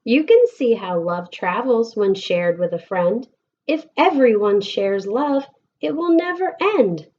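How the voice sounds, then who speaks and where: American female storybook reader, very unhurried, expressive phrasing, slightly muffled lo-fi recording. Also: medium pitch. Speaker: elementary school teacher reading aloud. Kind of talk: picture-book read-aloud for children.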